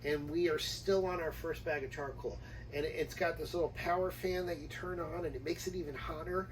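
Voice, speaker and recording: A man with a fairly high-pitched voice chats in a playful, unscripted way as a travel vlog co-host. He sits a little away from the microphone, with faint noise around him. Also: steady tone, a little fast. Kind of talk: casual unscripted chat with partner. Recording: distant mic, noisy ambient background.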